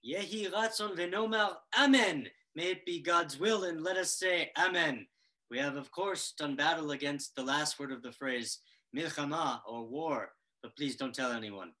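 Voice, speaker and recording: A man's voice: a little high, moderate pace, sharp tone. A young man playing a cheeky, idle yeshiva student in a comic play reading on a video call, mock-solemn in manner. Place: indoor room, on video call. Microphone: shared video-call microphone.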